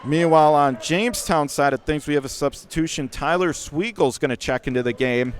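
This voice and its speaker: A man doing live radio play-by-play of a basketball game in punchy short phrases, getting excitable on big plays. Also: on the high side, a little fast. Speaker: radio play-by-play sports announcer. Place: indoor school gymnasium during a game.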